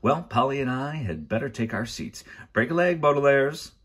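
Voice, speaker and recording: A man voicing a genial, oblivious, formal middle-aged gentleman in a storybook, hearty and polite. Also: mid-range voice, big pitch swings, moderate pace, slightly rough, voice pushed up.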